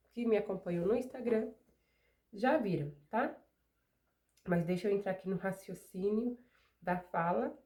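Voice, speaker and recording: A woman's voice: mid-range voice, mellow. Chatty Brazilian woman with a cold. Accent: Brazilian Portuguese. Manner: chatty, digressive, rhetorical asides. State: recovering from a cold. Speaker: craft studio owner and channel host. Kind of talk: casual chat to camera while working.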